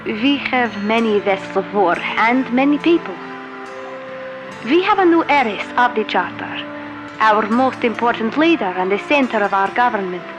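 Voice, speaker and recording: An actress playing a grave space fleet leader in a sci-fi audio drama, speaking formally and with solemn, measured diction. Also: female voice, a little high, lively intonation, highs cut off, natural voice. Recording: voice set over clear music.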